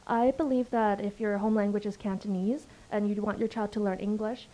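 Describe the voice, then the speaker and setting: A woman from Canada speaks on a panel in a mellow, warm tone. She shares her personal experience thoughtfully and fills her pauses with frequent ums. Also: medium pitch, steady tone, rough recording. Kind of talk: panel discussion.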